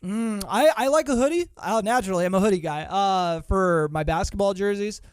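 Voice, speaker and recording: A man's voice: high voice, fairly quick, clean tone, dry recording, studio quality. A man in his thirties chatting casually as a podcast guest, laid-back and full of fillers. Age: 30s. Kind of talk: casual chat.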